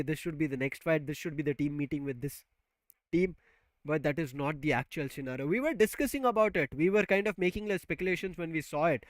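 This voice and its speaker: An excitable male esports caster pumps up the audience over a headset on a remote call. His voice sits a little high for a man. The sound is close and dry, with no room around it. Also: no room sound, poor audio. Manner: excitable, loud, building hype. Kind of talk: live esports commentary.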